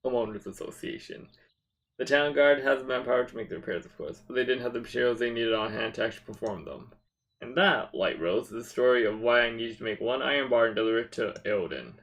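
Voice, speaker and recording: A man's voice: medium pitch, fast talker, gravelly, fairly bright tone, rough recording. Man reading as a wry, chatty town quest-giver. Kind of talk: reading game dialogue aloud.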